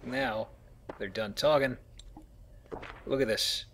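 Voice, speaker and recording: A man hosts a let's play in a voice of ordinary pitch. He chats casually over the gameplay, drifting into rambling asides with a goofy, informal manner. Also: slow pace, fairly bright tone. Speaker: let's play host, playing the game.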